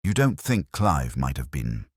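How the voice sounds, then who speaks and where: Guy doing an earnest sergeant, respectful and a bit uneasy, very low voice that moves up and down. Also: very animated, unhurried, breathy edge, no echo, studio quality, exaggerated voice. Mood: uneasy, curious. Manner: plain-spoken, hesitant, respectful. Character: earnest, deferential, uneasy male police sergeant.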